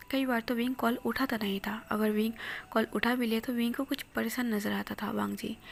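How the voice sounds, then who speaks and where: A woman narrating a romance story in a soft, steady whisper. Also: fairly high pitch, a little hiss or hum.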